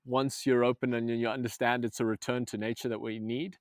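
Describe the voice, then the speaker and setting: A man's voice: mid-range voice, little pitch movement, brisk, no echo, studio quality. A man from Zambia, a movement coach, talking earnestly in a casual podcast conversation, with many restarts.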